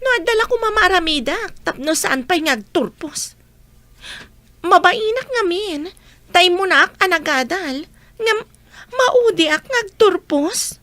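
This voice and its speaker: A woman's voice: very high-pitched, animated, measured pace, sharp tone, no room sound, heavily acted. A woman acting as an earnest mother talking with her family in an audio drama. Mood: complaining.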